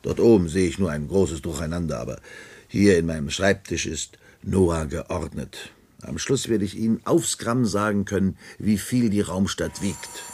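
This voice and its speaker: An actor playing a weary, resigned middle-aged bureaucrat of the future in a 1970s German radio play, dry and grumbling. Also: male voice, low-pitched, normal speed, darkish tone, breathy edge. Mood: dry, pedantic.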